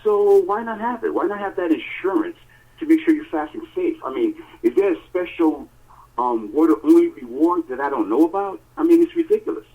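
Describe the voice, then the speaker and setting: A man's voice: on the high side, brisk, slightly rough. A man co-hosting a podcast over a phone call, telling stories in a casual, emphatic way with lots of fillers. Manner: animated, emphatic storytelling with many fillers. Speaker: podcast co-host. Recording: tinny phone line.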